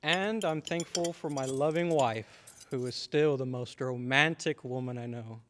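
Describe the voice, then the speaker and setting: A man playing a stern but affectionate father in an old-time radio drama, with a measured, slightly pompous manner. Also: mid-range voice, a bit bright, slight background noise, natural voice. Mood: fond reminiscing. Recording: reverberant hall.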